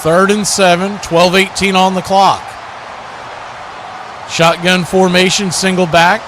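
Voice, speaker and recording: A man doing live play-by-play football commentary for a stream, in clipped phrases that grow excited on big plays. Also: sits high, takes it slowly, noisy background.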